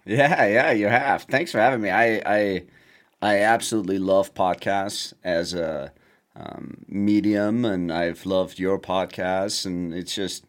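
A man in his forties from Germany, a podcast guest telling stories about his past in a relaxed, wry way. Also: deep voice, slow pace. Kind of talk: casual storytelling interview.

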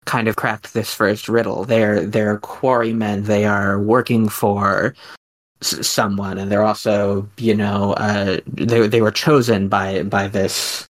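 Man with a lowish voice, thinking aloud. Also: level tone. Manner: halting, rambling, thinking aloud. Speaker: podcast host. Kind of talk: casual mock-scholarly discussion.

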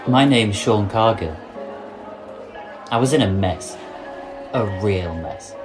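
Man playing a despairing, slow-talking police constable. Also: fairly low pitch, lively intonation, music in the background. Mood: subdued, reflective. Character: desperate, broken young male police constable in despair. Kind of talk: audio drama acting.

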